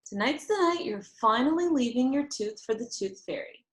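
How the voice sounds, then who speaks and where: A woman's voice: fairly high pitch, lively intonation, normal speed, close to an everyday voice. A woman voicing a young girl's mother in a storybook, affectionate and lightly exasperated, teasing and reassuring.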